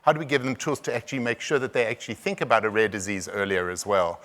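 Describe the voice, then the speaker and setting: South African man with a bright voice. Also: fairly low pitch, steady tone. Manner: halting, frequent restarts and repeated words. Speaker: conference presenter. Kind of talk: conference talk.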